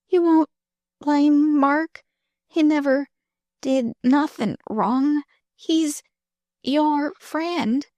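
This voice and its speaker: A gasping dying girl, high and faint. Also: extremely slow, long pauses, a little mellow, no room sound. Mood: gasping pleading. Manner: gasping, breathy, faint, rustic slang. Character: dying young girl, weak, frightened, defiant.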